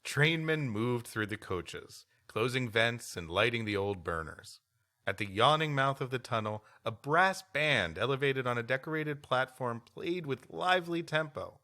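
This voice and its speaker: A man's voice: mid-range voice, lively intonation, measured pace, sharp tone. A man co-hosting a podcast, mixing read-aloud history with wry, rambling banter. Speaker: podcast co-host. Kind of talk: reading a history story aloud with joking banter.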